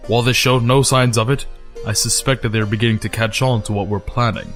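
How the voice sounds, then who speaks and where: A man playing a stern, scheming middle-aged clan leader and father in an audio drama, formal and deliberate. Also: mid-range voice, steady tone, fairly bright tone, faint music behind, natural voice. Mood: stern, calculating. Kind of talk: fan audio drama acting.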